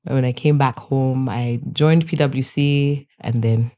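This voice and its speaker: A woman's voice: extremely low pitch, steady tone, slow pace, warm tone, dull audio. A woman in her thirties, born in Kenya, speaking as a podcast guest in an easygoing, chatty and good-humored way. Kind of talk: conversational interview.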